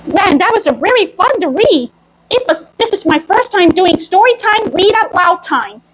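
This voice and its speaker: A woman with an extremely high, lively voice tells a story and chats in character. She plays at being a cartoon mouse girl, with a childlike, playful manner and animated swings in pitch. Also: moderate pace, slight background noise, poor audio. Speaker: storyteller in costume. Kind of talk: in-character storytime reading and chat.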